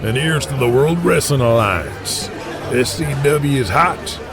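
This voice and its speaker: A man's voice: mid-range voice, animated, measured pace, close to an everyday voice. A man playing a folksy, foul-mouthed older wrestling boss in an audio drama, talking colloquially with swagger. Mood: gruff, boozy boasting. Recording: music behind the voice.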